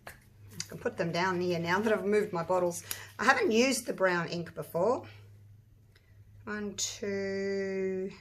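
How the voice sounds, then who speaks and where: An Australian woman with a croaky, husky voice, chatting casually as she walks viewers through a resin craft step by step. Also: mid-range voice, unhurried, long pauses, fairly bright tone. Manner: chatty, rambling, talks through each step. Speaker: resin craft channel host. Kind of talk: casual craft tutorial talk.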